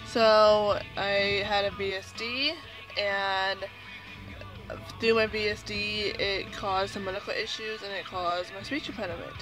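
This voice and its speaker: A woman's voice: medium pitch, fairly even intonation, a little slow, music in the background, low-quality recording. A young woman with a speech impediment telling a childhood story casually to camera, rambling with many fillers and restarts. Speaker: vlogger.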